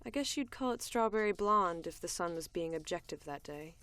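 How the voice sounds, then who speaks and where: Audio drama lead: tough, cool bounty hunter woman, played by an actress, fairly low register, dry wit, deadpan conversational delivery. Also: fairly quick, clean tone, dry recording. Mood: wry, confiding. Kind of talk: audio drama, first-person narration and dialogue.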